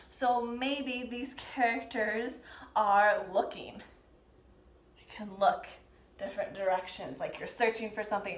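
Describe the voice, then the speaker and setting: High-voiced woman teaching in an echoey room. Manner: encouraging, gently prompting. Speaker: dance teacher leading a children's pantomime lesson. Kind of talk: instructional demonstration addressed to young learners. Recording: roomy, echoing sound.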